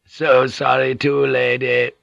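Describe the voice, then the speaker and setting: Man voicing a shrewd, bright-toned, deferential Japanese woman. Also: mid-range voice, takes it slowly, gravelly, no room sound, highs cut off, voice pushed up, full character voice. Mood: courteous. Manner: flattering, insistent, humbly courteous. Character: shrewd, deferential Japanese businesswoman pleading with a lady.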